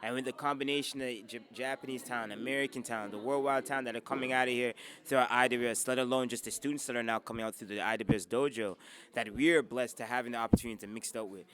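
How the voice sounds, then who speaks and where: Male wrestler, energetic interviewee, bright tone, quick to laugh. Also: medium pitch. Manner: enthusiastic, laughs often. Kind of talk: casual interview.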